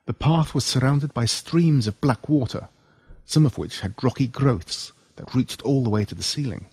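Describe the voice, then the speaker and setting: Audiobook narrator: Australian man, mid pitch, husky edge, dry close sound, suspenseful horror storytelling. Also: normal speed, darkish tone, no echo. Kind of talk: scripted first-person horror story narration.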